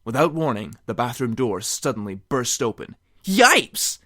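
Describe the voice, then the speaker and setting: A man's voice: mid-range voice, normal speed, no room sound, clean studio sound. A man narrating comedic fan fiction in a mock-serious, theatrical way with wry asides. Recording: music behind the voice. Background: music.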